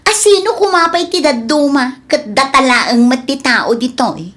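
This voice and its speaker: A woman's voice: high-pitched, animated, unhurried, a bit bright. A woman playing a nosy, mocking village neighbour in an Ilocano comedy radio drama, with an Ilocano Filipino accent.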